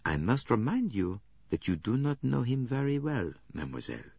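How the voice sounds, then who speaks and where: A man's voice: mid-range voice, sing-song, moderate pace, gravelly, a little mellow, slightly rough, dry recording, dull audio, pitched above the performer's own voice, exaggerated voice. A man reading an audiobook and voicing a fussy, shrewd older male Belgian detective with precise, courteous phrasing.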